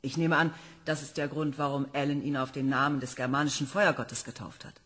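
A woman's voice: extremely low pitch, steady tone, fairly quick, a bit bright. An actress playing an enthusiastic woman planetology professor reporting to a commission. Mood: earnest. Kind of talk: radio play acting.

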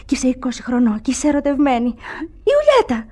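An actress playing a melancholy, worldly young woman in measured, wistful Greek radio theatre style. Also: sits high, huge pitch swings, darkish tone, exaggerated voice.

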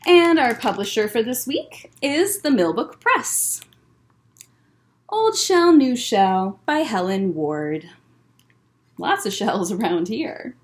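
A woman's voice: on the high side, sing-song, slow pace. A young adult woman hosting a children's story time, explaining things simply as she chats and reads aloud. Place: library room with bookshelves, indoors. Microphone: webcam or laptop at desk distance.

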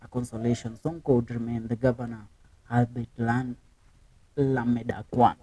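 A man's voice: mid-range voice, fairly even intonation, moderate pace, warm tone, no room sound, recorded from a distance, low-quality recording. A man reading documentary narration, stumbling and restarting words now and then. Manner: reads with slips and restarts. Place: voice-over, not recorded on location.

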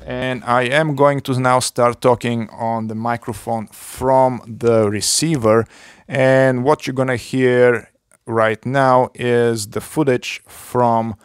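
Slow, methodical man, Bulgarian native. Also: medium pitch, steady tone, clean tone. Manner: slow, methodical explaining with frequent uhs. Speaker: woodshop channel host. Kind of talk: unboxing and gear demo. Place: cluttered home workshop.

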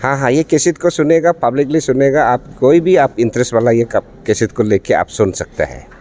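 A middle-aged man, the event organizer, speaking to news cameras in a church hall with halting pauses and fillers. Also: medium pitch, expressive, darkish tone. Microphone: handheld news mics in front.

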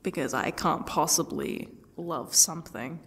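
A woman playing a defiant captive who taunts her torturer with bitter sarcasm in an ASMR roleplay, husky and often dropping toward a whisper, in halting, mocking phrases. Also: sits low, expressive, fairly bright tone, exaggerated voice. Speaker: audio roleplay performer. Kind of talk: ASMR roleplay monologue acting.

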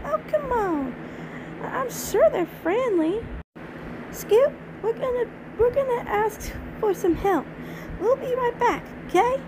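A woman's voice: very high voice, expressive, slow, noisy background, exaggerated voice. A woman voicing an earnest, friendly little country filly in an amateur cartoon dub. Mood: hopeful.

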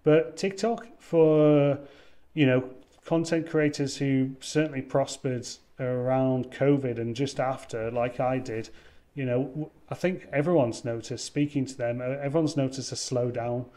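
A young man talking casually as a guest on a video call, wearing a headset, often pausing with ums and restarting his sentences. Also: mid-range voice, fairly even intonation, dark tone. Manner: hesitant, many ums and restarts. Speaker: wine content creator guest. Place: indoors, room with wine shelves. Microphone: over-ear headset on video call.

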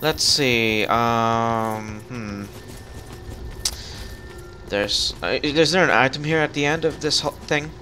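A man's voice: medium pitch, takes it slowly, pauses often, bright, forceful tone, slight background noise. A man chatting casually through co-op gameplay as he leads, jokey and often thinking aloud. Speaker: gamer leading a co-op session.

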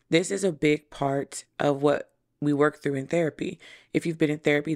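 A woman in her thirties with a hoarse, raspy voice, hosting a solo podcast and explaining ideas in a conversational way, speaking into a stand mic in a small room. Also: low-pitched. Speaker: podcast host. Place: small decorated room indoors. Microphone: studio mic on stand in front.

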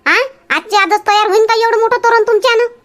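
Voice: extremely high pitch, steady tone, quick pace, fairly bright tone. A performer voicing a busy, nagging village housewife and mother in a cartoon comedy, with a rural Vidarbha Marathi accent.

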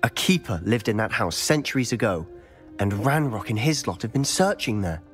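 A curious young wizard student, animated and quick to question , as a young actor plays him. His voice rises and falls freely and carries a wry, teasing edge. Faint music hums under the game dialogue. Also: fairly low pitch, close to an everyday voice. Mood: earnest. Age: young adult. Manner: lively, quick to question and tease. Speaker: student companion in a video game.

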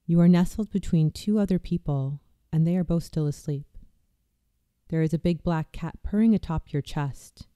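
A meditation guide narrates a guided visualization with a deeply mellow, dark-toned voice. The delivery is slow, soft and soothing, sometimes dropping to a hush. The sound is smooth and dry, with no room echo.

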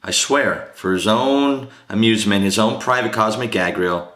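Male actor as scornful Devil, theatrical monologue, sharp forceful tone, emphatic, sly tempter, echoing room. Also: on the low side, moderate pace, lightly acted. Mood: sly, mocking. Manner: theatrical, emphatic, swinging from sly confiding to roaring. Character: the Devil, gleeful scornful male tempter ranting against God. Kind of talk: dramatic monologue performance. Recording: roomy, echoing amateur room recording.

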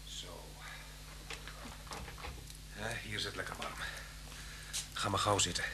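A low, calmly menacing radio-play stranger. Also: male voice, slow pace. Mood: calm, attentive. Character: outwardly calm, solicitous man suspected of murder. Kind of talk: Dutch radio play acting. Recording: humming, noisy old radio recording.

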